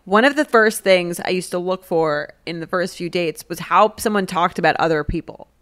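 A woman's voice: fairly low pitch, moderate pace, a bit bright, dry recording, studio quality. A woman co-hosting a podcast, talking in a casual, conversational way as in chat or a host-read spot.

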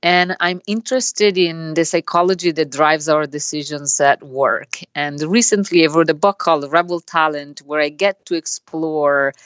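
Woman, Italian accent, low voice, measured explanations, dull dry recording. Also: dry recording. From Italy. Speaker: business school professor, guest. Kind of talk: podcast interview answers.

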